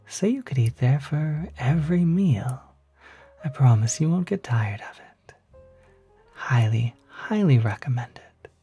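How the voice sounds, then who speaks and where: An ASMR performer of unclear sex playing a courteous demon hotel concierge, speaking softly and politely, close to the microphone, often near a whisper. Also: mid-range voice, breathy edge, full character voice. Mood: courteous, soothing. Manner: polite, unhurried, gently salesmanlike. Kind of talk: ASMR roleplay.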